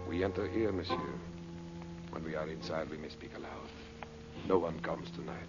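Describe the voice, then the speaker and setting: An actor playing a sly, mock-humble sorcerer, over-polite in broken English, in radio melodrama style. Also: male voice, rumbling low, normal speed, creaky, loud music behind the voice. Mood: quietly scheming. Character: sly, obsequious male foreign sorcerer, mock-humble schemer. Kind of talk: radio serial melodrama acting. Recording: muffled, hissy old radio recording.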